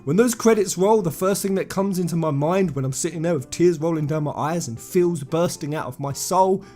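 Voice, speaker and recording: A man's voice: fairly high pitch, a little fast, mellow, faint music behind. A man hosting a video game channel, giving informal opinion commentary in an emphatic, slangy way with effusive fan enthusiasm, speaking straight to viewers.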